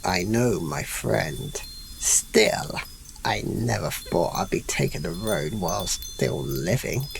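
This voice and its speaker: A creaky, slow-speaking warrior of a fantasy radio play, acted by a man. He is weary and doubtful, with a wry edge. There is faint noise in the recording. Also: sits low, big pitch swings, exaggerated voice, poor audio. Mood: wry, uneasy. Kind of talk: fantasy audio drama acting.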